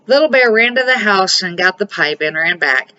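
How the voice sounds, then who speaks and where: A woman's voice: mid-range voice, lively intonation, moderate pace, sharp tone, lo-fi sound, slight background noise. An older woman reading a children's storybook aloud in a homely, amateur way. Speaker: storybook reader.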